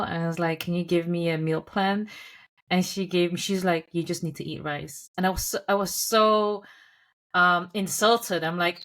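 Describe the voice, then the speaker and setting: A young woman co-hosting a casual podcast chat, asking direct questions and telling anecdotes with animation. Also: lowish voice. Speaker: podcast co-host.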